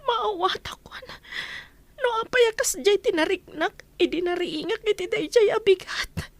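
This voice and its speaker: A woman's voice: extremely high pitch, normal speed, dry recording. A woman playing a troubled, wronged young woman in an Ilocano radio drama, plaintive and meek but protesting, with an Ilocano accent. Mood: wronged, accusing.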